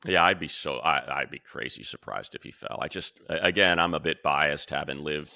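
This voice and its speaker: A man's voice: extremely low pitch, moderate pace, vocal fry, bright, forceful tone, no echo, studio quality. A man hosting a sports show, giving analysis in an emphatic, conversational way as he thinks aloud.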